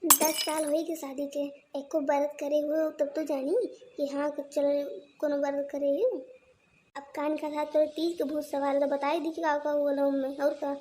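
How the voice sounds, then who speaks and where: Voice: high voice, flat delivery, moderate pace, lightly acted. A performer with an Awadhi accent voicing a grumbling, sarcastic older village mother-in-law in a comedy cartoon. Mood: sarcastic scolding. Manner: nagging, sarcastic. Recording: noisy lo-fi cartoon soundtrack.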